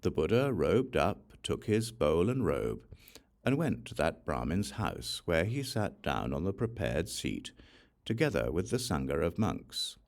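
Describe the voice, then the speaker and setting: A very low male voice with a gravelly creak reads an ancient scripture aloud. He narrates in a deliberate, measured storytelling manner, with lively rises and falls in pitch. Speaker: audiobook reader.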